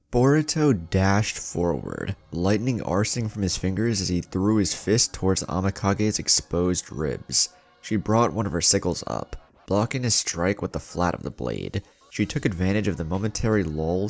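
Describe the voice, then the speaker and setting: A man's voice: deep voice, vocal fry. A man hosting a story channel, reading scripted fan fiction in a dramatic narrator's delivery.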